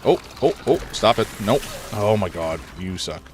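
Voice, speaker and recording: A man live-streaming a horror game, rambling through his commentary, chatting with viewers, and reacting loudly to scares, a little congested. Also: on the low side, animated, normal speed. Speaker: gaming streamer.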